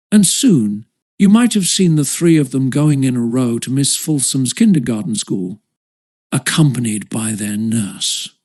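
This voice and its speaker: A man's voice: a little high, darkish tone. A man reading a children's story as scripted audiobook narration.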